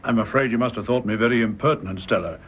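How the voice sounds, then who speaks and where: Radio drama: actor as courteous English officer, formal, hesitant, husky, muffled recording. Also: male voice, mid-range voice, close to an everyday voice. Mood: awkward, formal. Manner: formal, halting. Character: formal, embarrassed, courteous retired-style army major. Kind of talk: British radio drama acting.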